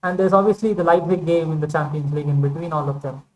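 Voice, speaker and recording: A woman with a lowish voice talks through football results in a casual vlog. She rambles, hedges and corrects herself, with plenty of fillers along the way. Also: fast talker, a little mellow, poor audio. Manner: rambling, hedging, many fillers and self-corrections. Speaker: football vlogger. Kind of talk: casual football opinion monologue.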